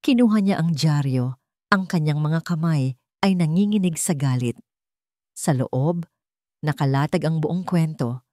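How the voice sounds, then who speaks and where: A woman narrates a scripted dramatic story in a measured delivery, pausing between phrases for effect. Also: low voice, warm tone, no echo, clean studio sound.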